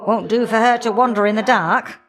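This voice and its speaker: A woman's voice: a little high, fairly quick, natural voice. A woman voicing a feisty, folksy elder goddess of flame in a video game, sassy and affectionately teasing. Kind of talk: video game character dialogue.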